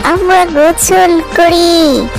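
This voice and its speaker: A woman's voice: very high voice, fairly even intonation, very slow, vocal fry, over music, full character voice. A woman voicing a flirty cartoon woman who coaxes someone into a pond.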